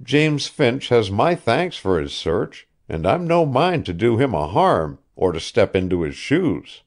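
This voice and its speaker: A man reading an audiobook voices a calm young seaman who questions quietly and persistently. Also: medium pitch, a little slow, pitched above the performer's own voice. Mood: quietly insistent.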